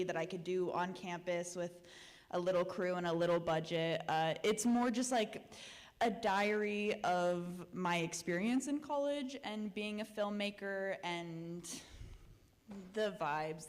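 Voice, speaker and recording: A young woman, a student filmmaker, speaking in a laid-back, casual way at a festival Q&A on a theater stage. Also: mid-range voice. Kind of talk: answering questions at a festival Q&A. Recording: reverberant hall sound.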